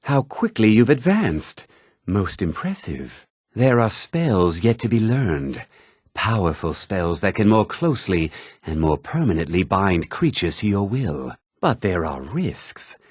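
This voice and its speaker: A husky, lowish-voiced male mage from a fantasy game. He speaks formally and deliberately, with a lively rise and fall, like a scholar of magic. The recording is dry and close. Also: no echo, heavily acted. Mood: grave, measured. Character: learned, measured male mage of a magic college. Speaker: video game character. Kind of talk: scripted fantasy game dialogue.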